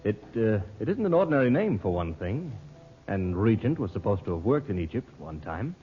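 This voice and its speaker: An actor playing a polite, persistent foreign correspondent in an old radio drama. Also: male voice, fairly low pitch, sing-song, brisk. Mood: probing, intrigued. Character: prying, genial male foreign correspondent, persistent and suave. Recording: dull old radio recording with music.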